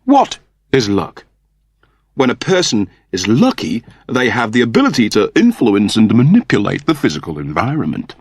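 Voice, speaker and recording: A man's voice: fairly low pitch, huge pitch swings, moderate pace, breathy edge, no room sound, studio quality, heavily acted. A man voicing a fussy, earnest mechanoid turned human in an audiobook, with a plaintive, pedantic manner. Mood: earnest, reasoning.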